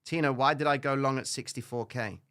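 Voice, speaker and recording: A man with a Cypriot accent hosting a live trading stream, talking straight to viewers with frequent tag questions. He jumps between loud, animated lecturing and low, muttered asides. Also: brisk. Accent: Cypriot English. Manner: casual, frequent "all right?" tag questions, occasional swearing. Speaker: livestream trading host. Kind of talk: live stream chat reading viewer comments.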